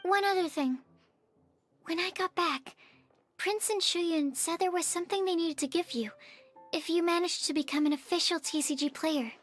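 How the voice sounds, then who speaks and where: A woman's voice: very high voice, moderate pace, soft background music. A woman voicing a polite, earnest and timid young woman in an anime video game, speaking courteously and with some hesitation. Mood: shy, earnest. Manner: courteous, hesitant, soft-mannered.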